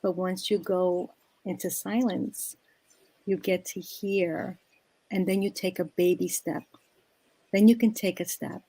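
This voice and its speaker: A woman speaking as a panel guest, giving a reflective inspirational talk and pausing between phrases. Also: fairly low pitch, fairly even intonation, mellow, slightly rough, dry recording, poor audio.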